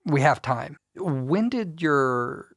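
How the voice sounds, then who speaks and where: A man's voice: a little high, animated, slow pace, dry recording, clean studio sound. A man hosting a podcast interview in a polite, encouraging, conversational way.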